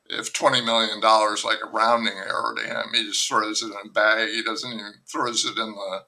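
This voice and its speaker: Gravelly, sharp-toned older man. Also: on the low side, rough recording. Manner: frank, rambling, restarts his sentences. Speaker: novelist guest. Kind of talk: candid podcast conversation.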